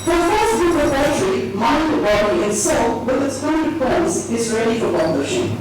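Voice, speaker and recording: Female event presenter, formal read-out, higher-pitched, loud music behind. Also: sing-song, measured pace, heavy reverb, recorded from a distance, rough recording. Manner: formal, reading from a prepared text. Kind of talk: scripted speaker introduction at a live event. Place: echoey event venue.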